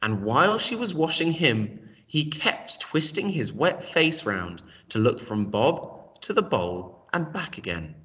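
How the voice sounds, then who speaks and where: Male story reader, expressive, highly animated pitch, bright tone, a little off-mic. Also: a little slow, recorded from a distance. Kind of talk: expressive children's story reading.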